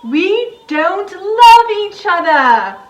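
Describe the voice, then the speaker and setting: A woman's voice: very high voice, very animated, extremely slow, highs cut off, faint music behind, full character voice. A woman playing a reserved, proper single woman who is easily flustered, with a genteel, emphatic delivery in a drama reading. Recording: roomy echo.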